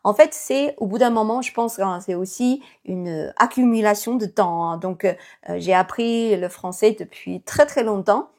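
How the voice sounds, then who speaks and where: Breathy woman teacher. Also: mid-range voice, moderate pace, a little mellow. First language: Chinese. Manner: many fillers, thoughtful, encouraging. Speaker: Chinese teacher. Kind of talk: casual video lesson.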